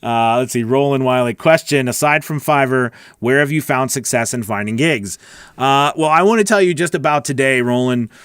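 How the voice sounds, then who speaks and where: A man's voice: medium pitch, moderate pace, fairly bright tone, smooth, dry recording. A middle-aged American man hosting a casual livestream Q&A, chatty and informal, thinking aloud as he answers. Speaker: host, voice-over coach. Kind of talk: casual livestream Q&A, reading and answering viewer questions. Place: small home recording studio. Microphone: large studio microphone on a boom arm, close.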